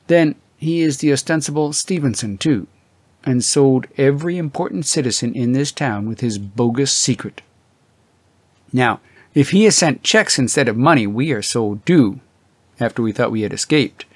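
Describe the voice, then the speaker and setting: A man's voice: mid-range voice, animated, moderate pace, dry recording, lightly acted. A man voicing an anxious, weary older husband in an audiobook, fretful and easily shaken. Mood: uneasy. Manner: agitated, exclaiming, muttering.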